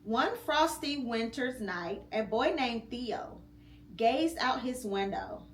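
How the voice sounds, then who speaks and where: A mother reading a picture book aloud to her child at home, slow and expressive with pauses between phrases. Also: on the high side. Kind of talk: storybook read-aloud. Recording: echoey room with faint hum.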